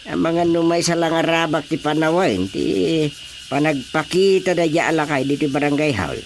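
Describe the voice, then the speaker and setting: A woman's voice: low-pitched, normal speed, slight background noise. A woman playing a talkative, teasing village character in a comedy radio drama, often exclaiming. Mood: concerned, chatty.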